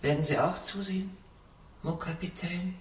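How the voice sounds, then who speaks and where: A woman's voice: fairly low pitch, fairly even intonation, moderate pace, close to an everyday voice. An actress playing a condemned woman spy in a radio drama, flirtatious and drily ironic, with proud composure. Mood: ironic, teasing. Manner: theatrical, teasing, drily ironic. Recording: roomy, distant, faintly noisy radio play.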